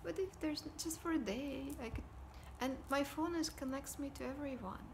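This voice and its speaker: Soft-spoken woman, home vlog. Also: high voice, normal speed, gravelly, noisy background. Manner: soft, often whispery delivery. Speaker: vlogger. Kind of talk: casual personal talk to camera. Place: living room at home, indoors. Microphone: device camera at desk distance.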